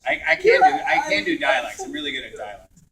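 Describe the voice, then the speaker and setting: Joky middle-aged guy on a con panel, lively pitch, bright voice. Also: fast talker, gravelly. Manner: joking, self-mocking, laughs often. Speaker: voice actor guest. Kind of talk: convention panel chat. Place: convention panel room.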